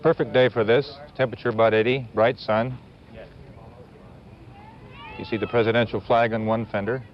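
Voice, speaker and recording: A man's voice: medium pitch, steady tone, moderate pace, pauses often, a little hiss or hum. An American man reporting live outdoors as a 1950s television correspondent, describing the scene in short, clipped phrases on muffled old audio. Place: outdoors at a building entrance. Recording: muffled 1950s broadcast with music behind.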